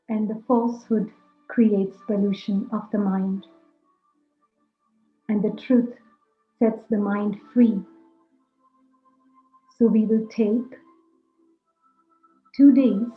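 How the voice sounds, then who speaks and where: A woman leading a guided meditation talk, speaking deliberately in short, measured phrases. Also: mid-range voice. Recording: roomy echo, dull lo-fi sound, music. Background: music.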